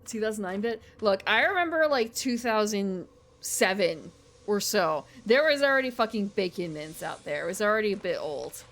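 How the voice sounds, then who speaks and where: A woman's voice: mid-range voice, lively intonation, moderate pace, a bit bright. An American woman giving casual, rambling live game commentary as a streamer, chatting informally with viewers. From United States.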